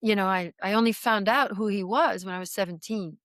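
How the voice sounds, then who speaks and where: A woman in her sixties from Belgium, recounting her childhood as an interview guest, halting and reflective with many pauses and restarts. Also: mid-range voice, a bit bright, no echo, clean studio sound. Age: 60s. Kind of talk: personal testimony in interview.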